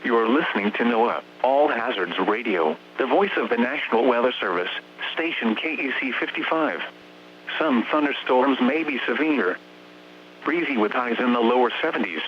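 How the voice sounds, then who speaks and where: Automated American male announcer, lower-pitched than some, formal and measured synthetic bulletin read over dull radio with faint hum. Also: lively intonation. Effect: synthetic text-to-speech voice. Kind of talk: automated scripted weather bulletin. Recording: muffled, noisy radio broadcast with hum.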